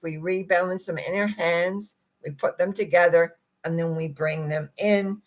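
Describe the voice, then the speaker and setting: A middle-aged woman with a croaky, husky voice gives a gentle, meandering spiritual talk and often clears her throat. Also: fairly low pitch, poor audio. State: croaky, rough voice. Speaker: spiritual teacher. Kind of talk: reflective talk to viewers.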